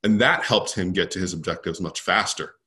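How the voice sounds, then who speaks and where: Low-voiced man answering in an interview. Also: fairly quick, bright, forceful tone. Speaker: interview guest, leadership expert.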